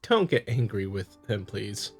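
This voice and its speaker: A man's voice: lowish voice, sing-song, measured pace, soft background music, heavily acted. A man voicing a middle-aged prioress in a visual novel, effusive, pious and politely apologetic. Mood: soothing.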